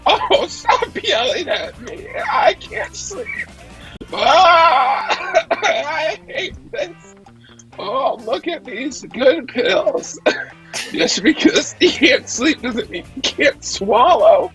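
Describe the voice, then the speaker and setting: A woman's voice: high voice, very animated, very slow, a bit bright, full character voice, low-quality recording. A woman playing a panicky, wheezing insomniac asthmatic in an improvised parody pill commercial, melodramatic and giggly. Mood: panicked, manic. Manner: breathy gasping, giggly, melodramatic. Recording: music playing behind the voice.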